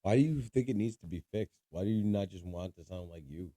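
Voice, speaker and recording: A man's voice: sits low, animated, fairly quick, warm tone. A man co-hosting a casual podcast, teasing his friend, laughing often and explaining things at length. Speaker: podcast co-host. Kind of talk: casual chat.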